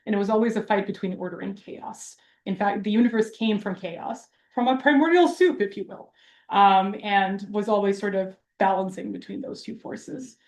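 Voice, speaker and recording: Woman, from Serbia, mellow, wry, self-deprecating, informal lecture Q&A, roomy acoustics. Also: mid-range voice, level tone, normal speed. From Belgrade, Serbia. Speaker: academic researcher giving a public talk. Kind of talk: informal Q&A after a public lecture. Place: university lecture hall.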